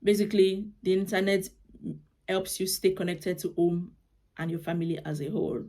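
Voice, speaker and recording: A woman's voice: fairly low pitch, little pitch movement, normal speed, mellow. A young Nigerian woman, a native Yoruba speaker with a Nigerian accent, giving casual advice in a vlog, chatty and often restarting her phrases. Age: young adult. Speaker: vlogger.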